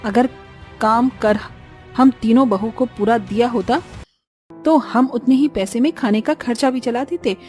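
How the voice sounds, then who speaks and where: A woman's voice: on the high side, a little slow, a little mellow, soft background music, lightly acted. A woman voicing a hard-pressed, practical young village daughter-in-law in a cartoon story, earnest and complaining. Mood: indignant.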